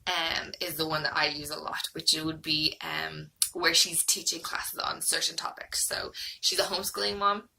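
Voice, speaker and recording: A woman's voice: sits low, level tone, moderate pace, gravelly, sharp tone, slight background noise, rough recording. An Irish woman in her thirties giving a casual, hesitant, rambling review, like a homeschooling mom vlogging.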